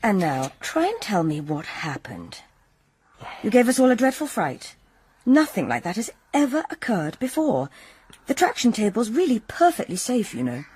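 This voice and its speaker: An actress playing a brisk, reassuring young female clinic therapist in a radio drama, matter-of-fact and soothing. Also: mid-range voice, huge pitch swings, a little mellow, slightly rough. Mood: gentle, confiding.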